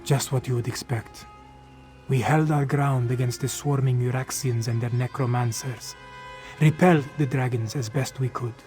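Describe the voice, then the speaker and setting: A man's voice: medium pitch, steady tone, normal speed, a little mellow, faint music behind, lightly acted. A man voicing a humble, loyal cat-folk warrior in a fantasy game, speaking formally in the third person. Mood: earnest, steady.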